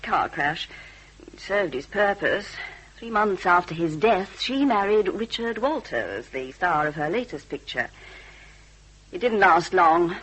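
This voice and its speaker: Worldly lady journalist, husky, expressive pitch, dry and guarded, radio drama. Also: a little slow, exaggerated voice, low-quality recording. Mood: cool, dry. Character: cool, guarded, worldly female gossip journalist. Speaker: actor in detective drama. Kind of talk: classic British radio drama acting.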